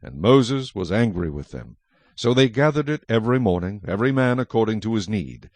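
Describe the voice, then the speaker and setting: A man narrating scripture from a script as a solemn, measured storyteller. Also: lowish voice, animated, a little mellow, dry recording.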